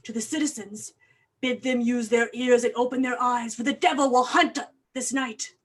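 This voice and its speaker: A woman's voice: fairly high pitch, steady tone, normal speed, a bit bright. A woman acting a grave, vengeful doctor who hunts a killer, declaiming Shakespearean verse in a staged reading. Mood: wrathful resolve. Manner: grave, declamatory, archaic diction.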